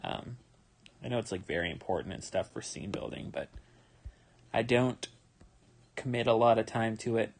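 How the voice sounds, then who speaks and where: A tired young man with a relaxed, rambling manner, talking casually with many fillers as he draws live and explains his steps. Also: on the low side, normal speed, gravelly, pauses often, slight background noise. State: tired, yawning. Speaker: manga artist streaming his drawing. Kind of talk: casual livestream commentary while drawing.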